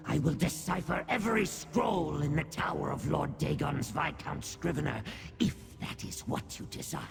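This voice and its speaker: A man voicing a small demonic rat servant in a video game, gravelly, grovelling and grandiose in a theatrical way. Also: on the high side, heavily acted. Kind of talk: video game character acting.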